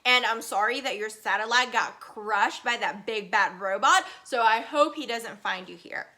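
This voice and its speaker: A woman's voice: on the high side, moderate pace, bright, forceful tone. A woman voicing a friendly little storybook alien, proud of its home, chatty, eager and reassuring. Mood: welcoming.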